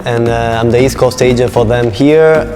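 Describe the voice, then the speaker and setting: A man's voice: medium pitch, moderate pace, noisy background. A man with an Italian accent, a native Italian speaker, explaining things informally in a casual interview as a local shop owner. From Italy.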